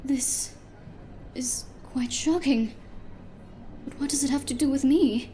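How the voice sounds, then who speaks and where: An actress playing a sweet, nervous diner owner in a noir audio drama, genteel and hesitant, sounding innocent. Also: female voice, sits high, slow pace, mellow, slight background noise.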